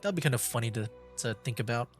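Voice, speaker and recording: A man's voice: medium pitch, lively intonation, quick pace. A man giving casual gaming commentary as a streamer, rambling and hesitant, close to the microphone. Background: faint game music.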